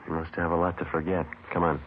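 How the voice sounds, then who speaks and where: An actor playing a terse police detective in a 1950s radio crime drama, clipped and deadpan. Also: male voice, deep voice, quick pace. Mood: businesslike. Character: terse, businesslike police detective who narrates his case. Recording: muffled vintage radio recording, music behind.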